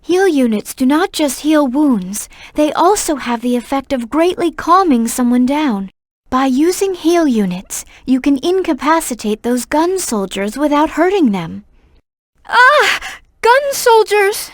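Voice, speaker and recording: A woman voicing a gentle, earnest young girl in a video game, caring and polite in the way she talks. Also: high-pitched, very animated, unhurried, no room sound, clean studio sound. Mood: alarmed, pleading.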